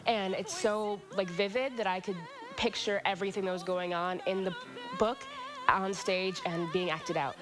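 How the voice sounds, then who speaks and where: A woman's voice: mid-range voice, fairly even intonation, normal speed, music in the background. A woman reflecting informally in an interview.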